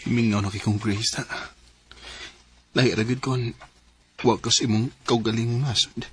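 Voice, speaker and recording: An actor playing a devoted, earnest young boyfriend in a radio drama, with a Cebuano Filipino accent. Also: male voice, medium pitch, steady tone, normal speed, slight background noise, lightly acted. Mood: lonely, worried.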